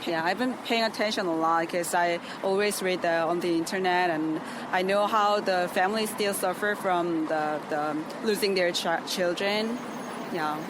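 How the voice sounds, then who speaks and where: Korean speaker in halting English. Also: medium pitch. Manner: halting English, many fillers. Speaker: street interviewee. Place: city street outdoors. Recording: street recording with traffic noise.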